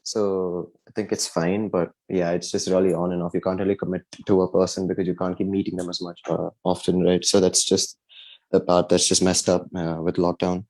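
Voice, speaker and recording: A man's voice: low-pitched, flat delivery, fairly quick, a little mellow, low-quality recording. A young man from India, a podcast guest chatting casually with many fillers and restarts. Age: young adult. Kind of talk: casual chat.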